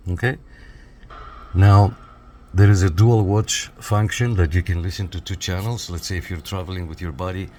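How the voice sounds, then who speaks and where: A man with a low, deep voice demonstrates equipment in a casual review style. He explains things step by step and checks in as he goes. There is clear noise behind his voice. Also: dark tone. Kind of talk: casual hands-on product walkthrough.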